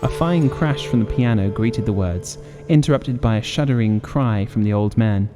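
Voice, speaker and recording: A man's voice: on the low side, normal speed, music in the background. A man narrating a gothic story as a scripted audiobook. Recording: long reverberant hall-like echo.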